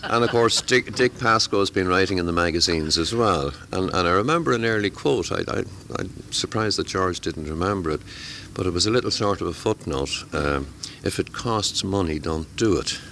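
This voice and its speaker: A man's voice: low voice, animated, normal speed, few pauses, a bit bright, slight background noise. A middle-aged man who tutors amateur radio classes, talking at a convention in a hesitant way, with many fillers and repeated words. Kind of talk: conversational interview.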